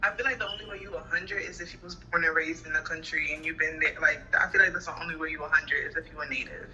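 A woman's voice: deep voice, steady tone, sharp tone, lo-fi sound, a little hiss or hum. A young woman from Honduras, a guest in a casual video call interview, talking from a phone in a small bedroom and filling her speech with "like". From Honduras, Garifuna. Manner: casual, fast, peppered with "like". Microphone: phone at arm's length on video call.

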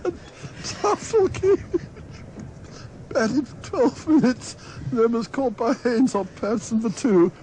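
A man's voice: very high voice, very animated, slow pace, dark tone. A British man giving a live cricket summary on the radio. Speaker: cricket commentator. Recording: noisy old radio broadcast.

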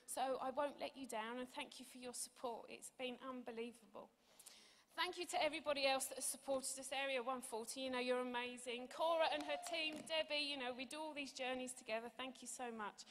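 A woman's voice: high-pitched, a bit bright. A woman in her forties giving an earnest, conversational testimonial speech from a stage into a handheld microphone. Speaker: award recipient giving a testimonial. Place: large auditorium stage. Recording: echoey hall sound over PA.

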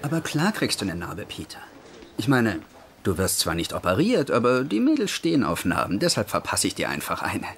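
An actor playing a confident, easygoing young doctor with a breezy manner in a German-dubbed TV comedy. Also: male voice, fairly low pitch, wildly swooping pitch, fairly quick, slight background noise. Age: young adult. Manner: breezy, encouraging.

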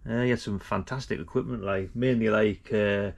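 A man's voice: lowish voice, steady tone, moderate pace, gravelly. A middle-aged man, chatting casually and informally as he hosts a vlog. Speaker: vlog host.

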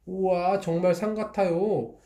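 A man's voice: high-pitched, steady tone, unhurried, warm tone, pitched above the performer's own voice. Plump disguised raccoon customer, gushing, sing-song, played by a man. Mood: delighted. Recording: echoey room.

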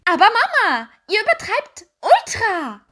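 High-pitched defiant teenage witch. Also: wildly swooping pitch, measured pace, sharp tone, exaggerated voice. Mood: exasperated. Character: sixteen-year-old witch girl, defiant and exasperated. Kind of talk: German audio drama acting.

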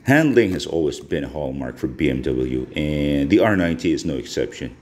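A man's voice: rumbling low, lively intonation, brisk, darkish tone. A man from the Philippines giving a casual, conversational voice-over review as a motorcycle vlogger. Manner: conversational, informal.

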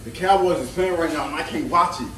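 A man's voice: fairly high pitch, fast. A young man joking and teasing in casual banter, heard from across an apartment room. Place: small apartment, indoors. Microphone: phone camera across the room. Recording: echoey room, distant phone mic, background noise.

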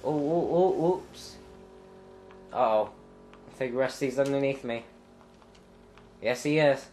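A young man with a congested, stuffy voice talks slowly and loosely as he plays a racing game, trailing off into ums and breaking into loud yelps. He sounds clogged up and under the weather. Faint game music runs underneath. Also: medium pitch. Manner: rambling, thinking aloud with many ums, sudden loud exclamations. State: stuffy nose, congested throat. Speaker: gameplay commentator. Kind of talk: casual let's-play commentary.

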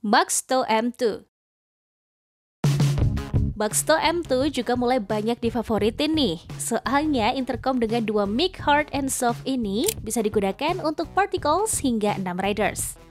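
High-pitched woman promo narrator. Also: expressive, a little slow. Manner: chatty, persuasive. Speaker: product review narrator. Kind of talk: scripted promotional voice-over. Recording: music bed under studio voice-over. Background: background music.

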